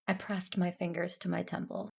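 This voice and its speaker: Reserved Jedi heroine, played by an actress, creaky mid-range voice, dutiful first-person storytelling for sci-fi audio drama. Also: female voice, on the low side, level tone, moderate pace, exaggerated voice. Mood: serious, troubled.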